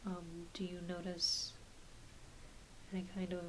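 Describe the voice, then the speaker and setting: A woman playing a gentle, reassuring doctor in an ASMR exam roleplay, speaking softly and hesitantly, often half-whispering. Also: lowish voice, a little slow, natural voice, low-quality recording. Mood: calm, reassuring. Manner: soft, half-whispered, hesitant, apologetic bedside talk. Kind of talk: soft-spoken ASMR medical exam roleplay. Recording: faint steady hiss behind close voice.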